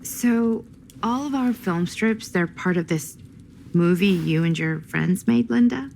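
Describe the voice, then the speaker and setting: Hesitant young woman, creaky voice. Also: lowish voice, a little slow, a little mellow, slight background noise. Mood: curious, uneasy. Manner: hesitant, questioning. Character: curious, hesitant young woman, uneasy outsider.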